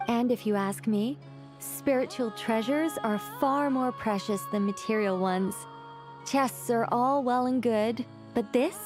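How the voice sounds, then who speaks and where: A woman voices a playful fairy guide from an anime game. She is fairly high-pitched, chatty and theatrical, with polite self-satisfaction. Music is clearly heard behind her. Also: measured pace, natural voice. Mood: pleased.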